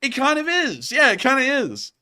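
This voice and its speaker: A man's voice: very high voice, moderate pace. A man hosting a war news show, talking casually over video clips, speaking straight to his viewers and often sounding incredulous. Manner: animated, talks straight to viewers, incredulous asides.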